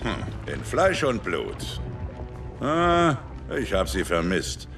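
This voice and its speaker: A boisterous veteran soldier from a video game, affectionate and playful. He stretches words slowly and leaps wildly in pitch with a gravelly edge. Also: huge pitch swings, over music, exaggerated voice. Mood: warm, playful. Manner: hearty, playful.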